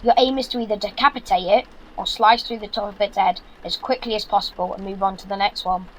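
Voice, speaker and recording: A man's voice: high-pitched, fairly even intonation, fast, rough recording. A young man playing a practical, bossy British son in an audio drama, speaking in an earnest, instructive way. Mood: brisk, practical. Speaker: actor.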